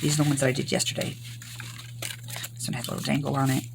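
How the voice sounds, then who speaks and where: An older woman from Arkansas, hoarse from recent illness, chatting through a rambling crafting tutorial. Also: a bass voice, little pitch movement, fast, background noise. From Arkansas, US South. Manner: rambling, homey, many ums. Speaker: craft channel host.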